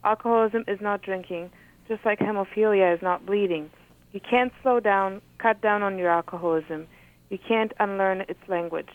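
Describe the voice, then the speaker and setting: A woman whose first language is Polish, an author reading from her book and talking thoughtfully with many pauses. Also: medium pitch. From Poland. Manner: thoughtful, hesitant with frequent ums. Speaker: author, guest. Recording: phone-like radio audio.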